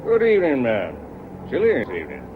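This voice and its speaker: A man's voice: mid-range voice, wildly swooping pitch, fairly quick. Swooping, courteous male caller. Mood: polite, insistent. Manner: courteous, dryly firm. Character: polite, persistent, unruffled male evening caller. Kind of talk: radio period mystery drama acting. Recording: noisy, dull old radio recording.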